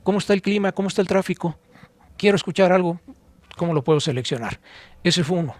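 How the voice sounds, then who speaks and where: A man with a Mexican accent explaining things informally on a podcast, didactic, pausing and posing rhetorical questions. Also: high voice, no echo. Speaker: podcast co-host and audio expert.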